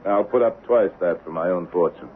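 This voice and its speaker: A thin, old radio recording carries the very low voice of a calculating western avenger, acted by a man. He speaks deliberately and with control, dryly taunting, with a faint hiss underneath. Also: phone-quality sound, lightly acted. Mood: taunting, assured. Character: wronged man seeking revenge, cool, calculating, in Old West. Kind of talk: 1970s radio drama acting.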